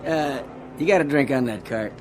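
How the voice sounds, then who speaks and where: A man's voice: medium pitch, normal speed, a little mellow, soft background music, close to an everyday voice. An actor playing a friendly, tipsy male airline passenger in a radio drama, talking in a casual, slangy, hesitant way. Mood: wheedling, casual.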